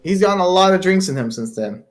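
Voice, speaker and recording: A man's voice: high-pitched, expressive, quick pace, a crowd in the background. A young man with a slight Southern US accent and a light, airy voice, co-hosting a podcast in easygoing chat and laughing readily. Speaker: podcast co-host. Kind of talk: casual chat.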